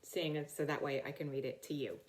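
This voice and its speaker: A woman's voice: sits low, fairly even intonation, brisk, poor audio. A middle-aged woman reading a picture book aloud to children, talking simply and directly to her young listeners. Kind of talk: read-aloud storytime for children.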